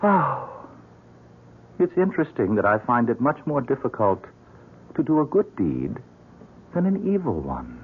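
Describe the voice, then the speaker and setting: An actor playing a solemn supernatural dealmaker who warns mortals in measured, formal speech, in a radio drama. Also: male voice, medium pitch, huge pitch swings, noisy background. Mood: wry, reflective. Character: solemn supernatural male dealmaker bound by rules, warning mortals. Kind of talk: 1970s American radio drama acting. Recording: muffled old radio broadcast.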